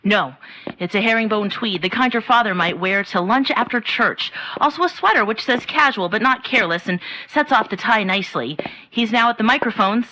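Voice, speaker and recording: A woman's voice: on the high side, expressive, fairly quick, pushed and bright, slight background noise, poor audio. A woman playing an earnest, formal field reporter who describes every detail solemnly in a comedic 1930s radio drama. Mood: solemnly descriptive.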